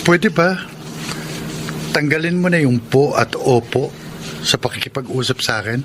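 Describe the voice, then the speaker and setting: A man playing a courteous, smitten suitor in a Filipino radio romance, speaking gently and earnestly. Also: medium pitch, a little slow, background noise, lightly acted. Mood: reassuring. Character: courteous, smitten older-brother-like male suitor.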